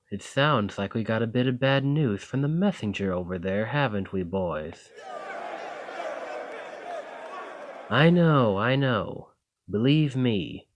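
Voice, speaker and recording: Bandit leader, male actor, audio roleplay: confident rogue, fairly deep voice, very unhurried, folksy and teasing. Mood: rallying, defiant. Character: roguish male bandit leader, confident, wry, genial schemer. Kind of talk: scripted roleplay acting addressed to the listener.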